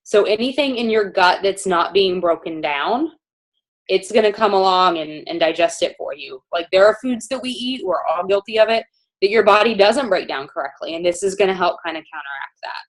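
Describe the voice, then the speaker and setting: A woman's voice: mid-range voice, animated, normal speed, low-quality recording. A woman casually presenting products to a panel, informal and chatty with many fillers.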